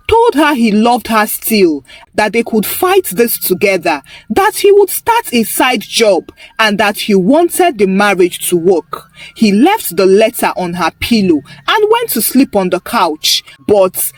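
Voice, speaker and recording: A woman with a Nigerian accent tells a scripted tale. Her narration is dramatic and highly animated in intonation. She is recorded close and dry, with faint music beneath her. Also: slow, dry recording. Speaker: storyteller.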